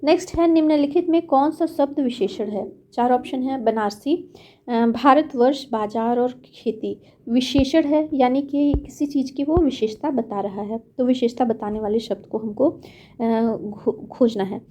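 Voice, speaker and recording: A woman with a high, mellow voice explains exam grammar questions one by one. She talks patiently, repeats key points and pauses on small fillers as she works through each answer. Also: a little hiss or hum. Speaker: exam prep teacher.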